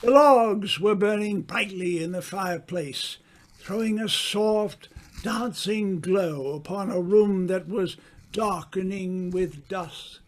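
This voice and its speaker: A man narrating an old-time radio mystery in a dramatic, deliberate storytelling manner. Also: high voice.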